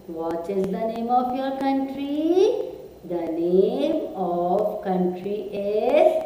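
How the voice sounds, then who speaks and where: A woman teaching young students, drilling questions and answers in a slow, sing-song call-and-repeat manner. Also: fairly high pitch, dark tone, slight background noise. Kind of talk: classroom lesson for young students. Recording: echoey room with long reverb.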